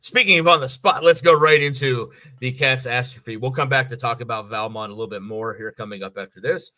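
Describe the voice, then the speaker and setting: A man's voice: medium pitch, brisk, sharp tone. An American man co-hosting a podcast with wry, crude, teasing banter. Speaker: podcast co-host. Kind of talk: casual banter.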